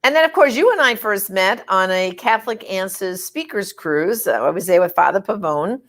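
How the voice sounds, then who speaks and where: A woman from Brooklyn hosts a casual chat, her New York accent plain in every phrase. She talks in a chatty, animated way, jumping in with quick remarks. Her pitch sits in the middle for a woman. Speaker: host.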